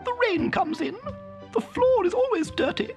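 A woman voicing a proud, fussy female town mouse in a storybook reading, with a high squeaky, sniffy delivery. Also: animated, normal speed, a little mellow, faint music behind. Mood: haughty.

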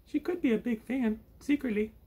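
A man voicing a silly, greedy, self-important furry puppet with a naive, boastful, excitable manner. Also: extremely high pitch, moderate pace, slightly rough, pitched above the performer's own voice. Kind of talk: puppet show banter.

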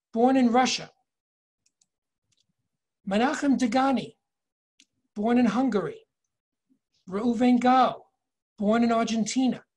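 A man's voice: very high-pitched, darkish tone. Older man giving a virtual tour. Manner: measured, explanatory, reads inscriptions slowly. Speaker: tour guide and educator. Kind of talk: virtual tour lecture over slides. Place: home office, indoors. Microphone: video call webcam.

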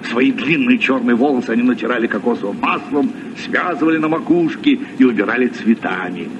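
An actor playing a learned, genial sea captain, telling travel tales expressively in a radio play. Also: male voice, mid-range voice, fairly quick, close to an everyday voice. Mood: genial, absorbed. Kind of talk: Soviet radio play storytelling. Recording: muffled, noisy old radio recording.

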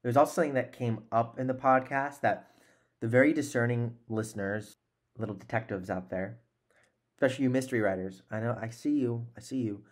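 A man in his twenties with a medium-pitched voice talks as a podcast host. He is conversational and earnest, with an encouraging way of putting things, speaking close to a desk mic in a room at home. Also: fairly quick. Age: 20s. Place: small room at home, indoors. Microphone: close desk microphone.

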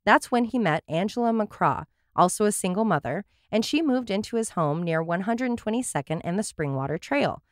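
A woman's voice: medium pitch, lively intonation, moderate pace, hardly any pauses, no room sound, clean studio sound. A woman hosting a true crime podcast, reading her case notes with wry, sarcastic asides. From Portland area, USA.

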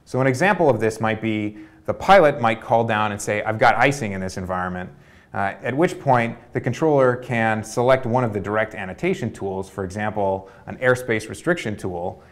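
Young male presenter, lowish pitch, clean structured demo read. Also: moderate pace. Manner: fluent, orderly walkthrough. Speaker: researcher demonstrating a prototype. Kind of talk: product demo narration. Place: dark studio with display screens.

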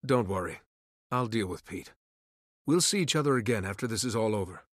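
A man's voice: fairly low pitch, animated, a little fast, dry recording, clean studio sound. An actor playing a brave, cocky young male hero in a video game. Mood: reassuring. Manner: cocky, confident.

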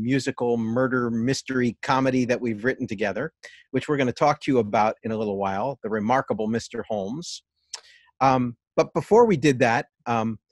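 A middle-aged man hosting a casual interview over a video call from his garden, speaking in a relaxed way with frequent pauses. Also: mid-range voice, no echo. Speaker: host, theater artistic director. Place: outdoor garden patio at home.

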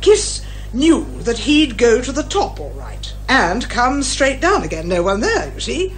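Casting: headstrong older British woman sleuth, high-pitched and emphatic, for radio comedy. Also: very animated, measured pace. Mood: explaining keenly. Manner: insistent, emphatic, articulate. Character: headstrong older female mystery writer turned amateur sleuth. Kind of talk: radio detective comedy acting.

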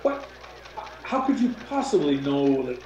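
A man's voice: a little high, sing-song, measured pace, a little mellow. A man playing a puzzled but dogged police inspector in a radio mystery play. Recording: dull, echoey, faintly noisy video call.

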